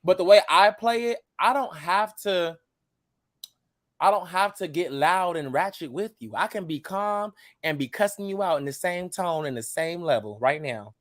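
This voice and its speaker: A man in his twenties who grew up in the United States, a show guest talking in casual chat, blunt and calm while he cusses. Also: sits high, normal speed, no echo, studio quality. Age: 20s.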